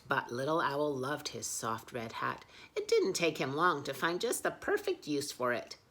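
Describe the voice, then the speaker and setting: A woman's voice: deep voice, huge pitch swings, normal speed, fairly bright tone. A woman reading picture books aloud expressively as a children's storyteller.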